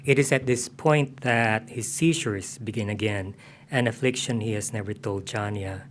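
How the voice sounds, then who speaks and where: A man's voice: on the low side, normal speed, slight background noise. A man giving scripted literary narration as the narrator of a staged reading.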